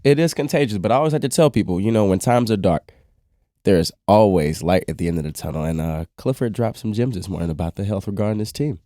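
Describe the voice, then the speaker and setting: Deep, mellow man talking sports, close and dry. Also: fast talker, no echo. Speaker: sports podcast panelist. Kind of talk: casual sports commentary.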